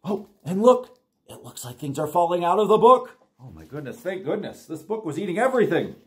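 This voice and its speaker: A middle-aged American man reading a picture book aloud to young children in an animated, encouraging way. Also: high-pitched, a little fast. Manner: animated, exclaiming, simple encouraging talk to little ones. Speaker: children's librarian reading online storytime. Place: small plain room indoors.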